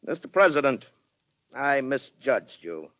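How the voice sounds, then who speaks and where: An actor playing a proud, stubborn retired colonel with an American accent, formal and emphatic in 1940s radio acting style. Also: male voice, mid-range voice, sing-song, a little slow, dry recording. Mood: bitter, defiant. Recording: dry, muffled 1940s radio recording.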